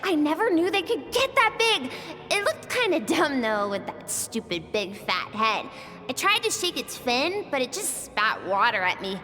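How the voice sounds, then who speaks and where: A woman's voice: high-pitched, very animated, normal speed, bright, forceful tone, heavily acted. A woman voicing a bold, curious little girl with a childlike, headstrong manner in an anime game. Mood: excited, cheeky. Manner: childlike, headstrong, wistful when alone. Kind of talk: dubbed anime game acting. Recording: long reverb, music behind.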